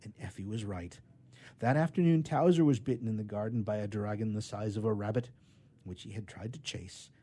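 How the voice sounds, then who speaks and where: A man reading a children's tale aloud in an expressive storybook manner, with emphatic swells and drops. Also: on the low side, fairly quick. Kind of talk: scripted storytelling narration.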